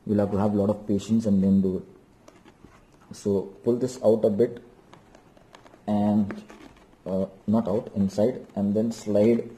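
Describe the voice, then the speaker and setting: A man's voice: lowish voice, flat delivery, takes it slowly, pauses often, mellow, a little hiss or hum, low-quality recording. A man giving an unscripted hands-on tutorial, explaining step by step and repeating instructions for emphasis. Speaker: DIY vlogger.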